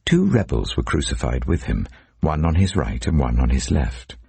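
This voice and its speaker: A man's voice: extremely low pitch, big pitch swings, moderate pace, gravelly, warm tone, breathy edge, no echo. A man narrating scripture as a scripted, dramatic audiobook reading.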